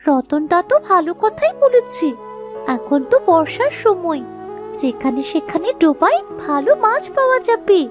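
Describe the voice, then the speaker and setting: A woman's voice: very high voice, sing-song, moderate pace, music in the background. A woman voicing a hungry little village girl, a younger sister in a cartoon, with a childlike, plaintive manner. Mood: hopeful. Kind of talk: cartoon voice acting.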